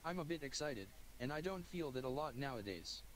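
A man's voice: mid-range voice, animated, fairly quick, noisy background. Synthetic male voice reading a story. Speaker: text-to-speech reader. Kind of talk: first-person story narration.

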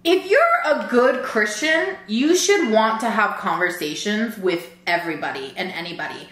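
A young woman chatting over a meal with her friend, emphatic and quick to laugh. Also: medium pitch, expressive, a bit bright, echoey room. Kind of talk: casual chat while eating. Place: bright room indoors at a table.

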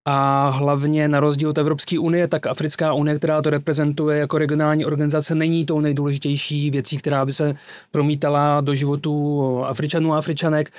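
A man's voice: a little high, little pitch movement, no echo. A man speaking as an expert guest in a studio panel discussion, fluent but full of fillers. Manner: fluent, with frequent filler words. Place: small studio with wooden table. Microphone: desk mic on boom arm.

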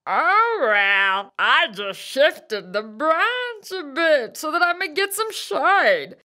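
An actor voicing an arrogant, rude young male elephant in a children's cartoon, with a haughty, mocking, drawn-out delivery. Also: high voice, very animated, bright, forceful tone, full character voice.

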